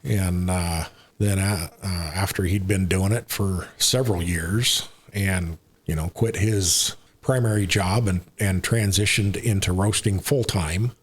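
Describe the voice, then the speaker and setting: A man in his sixties with an American accent, chatting casually as a podcast guest in a rambling, unhurried way. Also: sits low, breathy edge. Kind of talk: casual conversational interview.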